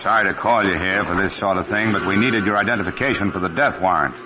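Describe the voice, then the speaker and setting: An actor playing a blunt, skeptical, impatient police commissioner in a 1940s radio crime drama. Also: male voice, sits low, quick pace, natural voice. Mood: businesslike, grim. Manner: blunt, sardonic. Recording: dull, noisy old radio recording.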